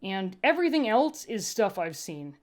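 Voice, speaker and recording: A woman voicing a gruff, weary human medic with a blunt, emphatic, argumentative way of talking, in a story reading. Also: medium pitch, very animated, moderate pace, natural voice. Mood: challenging.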